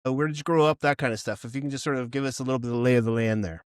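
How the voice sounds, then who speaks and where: A man from North America hosts a podcast interview in a loose, friendly way, quick with a joke. His voice sits at an ordinary pitch. The sound is close and dry. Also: dry recording. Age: 40s or older. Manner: friendly, jokey, chatty with laughs. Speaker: podcast host. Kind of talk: casual interview.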